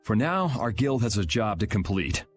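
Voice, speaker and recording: A man voicing a cool, easygoing young swordsman in an animated role-playing video game, casual and matter-of-fact. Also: fairly low pitch, moderate pace. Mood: teasing, encouraging. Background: faint background music.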